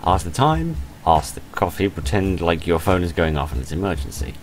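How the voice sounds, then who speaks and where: Deep-voiced man reading playfully over music. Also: sing-song, fairly quick. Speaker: host of a game playthrough channel. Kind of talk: reading a visual novel aloud with casual commentary. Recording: music behind the voice. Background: game music.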